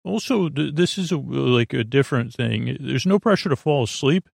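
Creaky, rambling sleep-podcast man. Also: mid-range voice, lively intonation, normal speed, a little mellow, dry recording, studio quality. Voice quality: creaky, soothing. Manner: meandering, loses his thread, many fillers. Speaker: podcast host.

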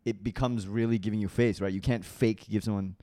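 A man in his thirties, a comedian from Malaysia raised in Singapore, speaking with an international school accent in animated, laughing chat full of swearing. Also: on the low side, fairly quick. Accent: international school English. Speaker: comedian guest. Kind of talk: casual chat.

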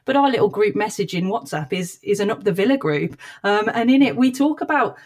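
A woman's voice: medium pitch, lively intonation, a little fast. A woman co-hosting a podcast, chatting casually with hesitant fillers. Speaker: podcast co-host.

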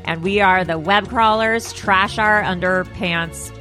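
She is a woman co-hosting a podcast in easy, enthusiastic chat. Her voice is bright and forward. Faint music sits behind her. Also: medium pitch, slow pace, rough recording. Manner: enthusiastic, chatty. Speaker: podcast co-host. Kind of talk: casual chat.

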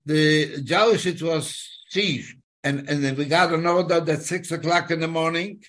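Elderly Polish-accented man, halting delivery. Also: fairly high pitch, steady tone, a bit bright. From Poland. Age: 90s. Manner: halting storyteller, often repeats words and phrases. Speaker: guest, Holocaust survivor telling his story. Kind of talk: personal testimony in an interview.

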